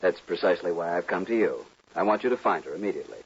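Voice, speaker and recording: An actor playing a stiff, demanding gentleman from the East in a 1950s radio western, formal and impatient. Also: deep voice, lively intonation, rapid-fire, heavy background noise. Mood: urgent, haughty. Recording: muffled vintage radio recording.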